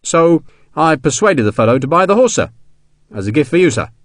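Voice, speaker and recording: A man's voice: fairly high pitch, very animated, brisk, dry recording, pitched above the performer's own voice. Audiobook part: deferential, stubborn soldier from the ranks, colloquial, bouncy intonation, dry close recording, voiced by a male narrator. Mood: feigned innocence. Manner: colloquial, punctuated with deferential sirs. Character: rough-spoken army sergeant, deferential, stubborn, slyly innocent. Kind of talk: audiobook character dialogue.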